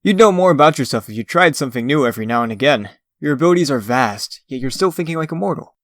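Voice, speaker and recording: A man's voice: medium pitch, expressive, fast, no room sound, studio quality, close to an everyday voice. A man playing a theatrical magician and escape artist in a comic dub, lecturing in a grandiose, urbane and condescending way. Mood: smug, urbane.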